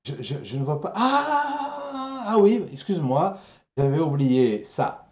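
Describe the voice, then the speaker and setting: A man hosting a live pop culture show in a casual, halting way, with a roomy echo on his voice. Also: high-pitched, big pitch swings.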